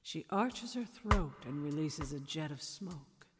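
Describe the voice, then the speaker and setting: Theatrical narrator in deep reverb. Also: female voice, expressive. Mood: sultry suspense. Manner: deliberate, dramatic, emphatic. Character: theatrical female screenplay narrator calling out shots and actions. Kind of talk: scripted stage-direction narration. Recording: long reverb under music.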